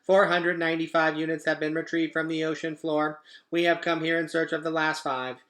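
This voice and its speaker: A man's voice: a little high, flat delivery, brisk, fairly bright tone, natural voice. A man voicing a cold, commanding robot enforcer in a children's storybook, giving clipped official orders. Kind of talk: children's book read-aloud.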